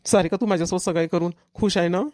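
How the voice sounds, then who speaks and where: A woman voicing an earnest young fiancé in a family cartoon, gently asking questions. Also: lowish voice, steady tone, fast talker, lightly acted. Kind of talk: cartoon family drama voice acting.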